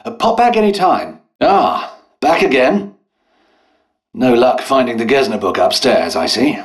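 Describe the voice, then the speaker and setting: Game role: dutiful British scientist-physician, genteel and faltering, very animated intonation, measured slow delivery. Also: male voice, medium pitch. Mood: courteous, busy. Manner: genteel, hesitant, old-fashioned politeness. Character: polite, dutiful, harried English royal doctor and scientist. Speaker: video game voice actor. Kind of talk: scripted game character dialogue.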